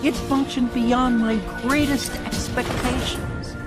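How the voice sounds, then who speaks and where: A woman's voice: high voice, fairly even intonation, takes it slowly. A woman playing an awed scientist in a video game, savouring each phrase. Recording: loud music behind the voice.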